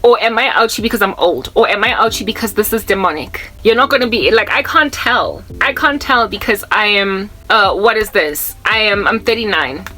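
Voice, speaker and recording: A woman's voice: medium pitch, moderate pace, few pauses, bright, forceful tone, soft background music, rough recording. South African woman in her thirties preaching. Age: 30s. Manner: rambling, repeats herself, mixes in Zulu words, groans to mimic old people. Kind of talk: casual spiritual talk to camera.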